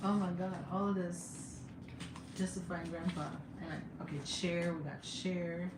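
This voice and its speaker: A young woman with a Jamaican accent chatting casually on a home livestream, laughing often and sometimes breaking into excited high exclamations. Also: measured pace. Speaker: livestreamer and mother. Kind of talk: casual live chat. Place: home room. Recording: echoey room with background noise.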